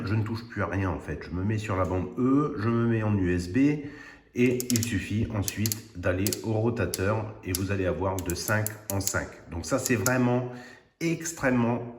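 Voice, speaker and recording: A man's voice: on the low side, slight background noise. A man informally demonstrating a product, earnest and a bit hesitant, repeating words for emphasis. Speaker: radio hobbyist presenter. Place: indoors at home radio station. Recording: roomy, echoing room sound.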